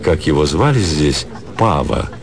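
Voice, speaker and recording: A man's voice: rumbling low, big pitch swings, measured pace, vocal fry, crowd noise. A man narrating a classic literary story as an actor in a radio production.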